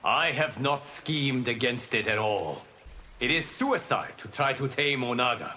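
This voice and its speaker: An actor playing a calm, solemn, merciful guardian god in a video game, speaking in a measured, formal, earnest way. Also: male voice, medium pitch, slight background noise. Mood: firm.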